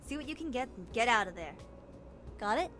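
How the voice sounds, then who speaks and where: A woman's voice: on the high side, sing-song, fairly quick, pushed and bright. A woman voicing a confident, teasing, scheming young sleuth in a visual novel game. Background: game music.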